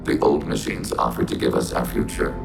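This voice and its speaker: A gravelly, very deep synthetic machine soldier. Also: male voice, fairly quick, heavily acted. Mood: explaining. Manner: flat precise formal speech. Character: synthetic machine soldier, male-voiced, calm and logical. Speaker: squadmate. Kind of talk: video game voice acting. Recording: game audio with music behind.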